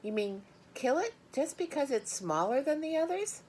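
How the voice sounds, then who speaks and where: A woman's voice: high-pitched, very animated, moderate pace, darkish tone, a little hiss or hum, pitched above the performer's own voice. A woman voicing an upset eight-year-old farm girl in a storybook, pleading and protesting indignantly. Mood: shocked.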